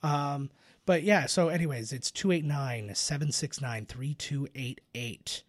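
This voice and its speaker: A man's voice: medium pitch, unhurried. A man hosting a podcast, chatting casually and recounting lore in a rambling, enthusiastic, sweary way. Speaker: podcast host.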